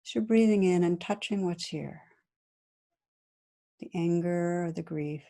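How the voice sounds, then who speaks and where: A woman meditation teacher guiding a practice in a gentle, soothing, unhurried manner with long pauses between phrases. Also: on the low side, creaky. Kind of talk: guided meditation.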